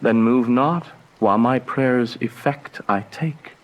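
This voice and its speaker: Man acting a lovestruck young gentleman, unhurried. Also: medium pitch, close to an everyday voice, rough recording. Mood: tender wooing. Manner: reverent, poetic delivery. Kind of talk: Shakespearean radio drama acting.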